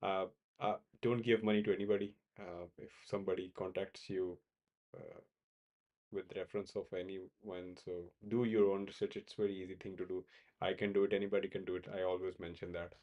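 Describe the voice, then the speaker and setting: Man from Pakistan, low voice, halting casual livestream talk full of "uh" and repeats. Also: level tone, muffled recording. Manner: halting, many fillers and repeated words. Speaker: crypto trading livestream host. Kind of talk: casual live-stream chat answering viewers.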